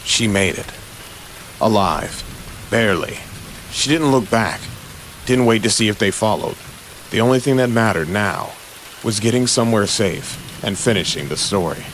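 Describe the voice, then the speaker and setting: A man's voice: on the low side, animated, normal speed, a bit bright, slight background noise, rough recording. A man narrating an audio drama in a suspenseful, dramatic scripted style, with short punchy phrases.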